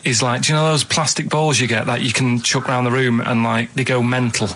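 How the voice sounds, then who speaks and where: A man's voice: mid-range voice, fairly even intonation, a little fast, fairly bright tone. Rambling man, faint background noise. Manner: rambling, matter-of-fact, colloquial. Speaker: radio show regular telling stories. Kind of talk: casual anecdote telling.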